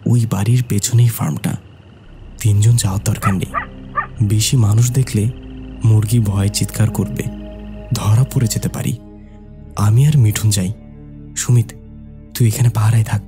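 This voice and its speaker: Casting: male actor as mischievous young narrator-character for animated ghost tale, lower register, prominent music bed. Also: lightly acted. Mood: hushed urgency. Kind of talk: scripted horror cartoon narration and dialogue.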